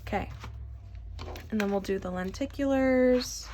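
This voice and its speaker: A woman's voice: medium pitch, measured pace, noisy background. Stuffy-nosed chatty young woman vlogger. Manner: rambling, self-deprecating, chatty. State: stuffy nose. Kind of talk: casual chat while sorting cards.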